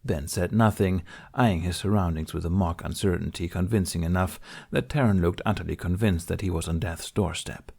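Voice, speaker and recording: A man's voice: sits low, normal speed, gravelly, few pauses, a little mellow, husky. A man reading scripted fiction as an audiobook narrator.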